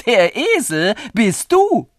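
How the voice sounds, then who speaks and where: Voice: medium pitch, big pitch swings, slow pace, no room sound, studio quality, pitched above the performer's own voice. A narrator voicing a scornful courtroom onlooker, questioning and rebuking sharply in a folk tale. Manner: sharp, exclamatory.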